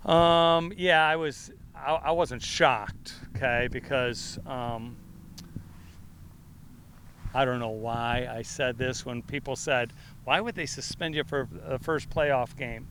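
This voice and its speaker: A middle-aged football coach with a loud voice fields questions at a media session. He rambles and restarts, leaning on fillers, his pitch sitting mid-range for a man. A faint hiss runs under the recording. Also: fairly even intonation, slow pace. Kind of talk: press conference answers.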